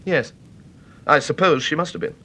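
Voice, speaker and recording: An actor playing an anxious, agitated English widower in a radio mystery drama, well-spoken and excitable with a British accent. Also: male voice, a little high, normal speed. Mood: uneasy, candid.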